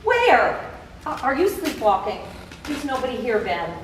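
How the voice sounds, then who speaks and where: High, animated actress as exasperated wife. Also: female voice, unhurried. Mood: alarmed. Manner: incredulous, urgent, emphatic. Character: alarmed, exasperated wife woken at night. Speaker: stage actor in a staged play reading. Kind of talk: live theater comedy acting. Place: theater or temple hall, far microphone. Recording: echoey hall from far off, long reverb, noisy.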